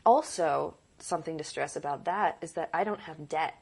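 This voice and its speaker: A woman's voice: normal speed, gravelly, a little mellow, breathy edge. A young adult woman producer and director talking in a casual interview, earnest and reflective, thinking aloud with small restarts. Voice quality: deep voice.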